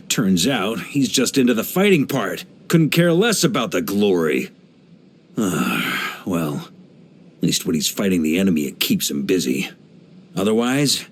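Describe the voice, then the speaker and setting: An actor playing a hearty, easygoing veteran knight grandmaster in a video game, speaking in an expressive, playful, conversational way. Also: male voice, lowish voice. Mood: amused, exasperated. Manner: jovial, expressive, playful, chuckling asides.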